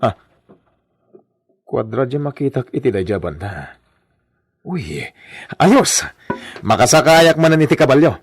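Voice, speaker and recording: A man playing a boisterous, boastful, bossy male character in an Ilocano radio comedy, with an Ilocano accent and a loud, exclaiming delivery. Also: medium pitch, very animated, measured pace, long pauses, slightly rough, dry recording, heavily acted. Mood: cocky, scheming.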